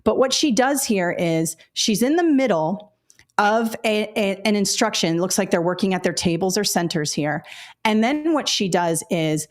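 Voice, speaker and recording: A woman in her forties presenting a webinar for teachers in a loud, candid, chatty way, telling stories from her classroom days. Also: medium pitch, moderate pace. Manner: chatty, candid, animated storytelling. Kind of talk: casual webinar talk to teachers.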